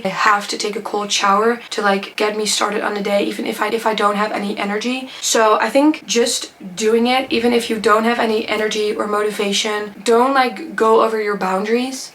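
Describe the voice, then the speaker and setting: A woman's voice: a little high, a bit bright, a little hiss or hum, rough recording. Chatty teen girl. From Netherlands or Flanders. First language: Dutch. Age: teens. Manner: chatty, gushing, rambling with fillers. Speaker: book vlogger. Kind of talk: casual Q&A chat to camera.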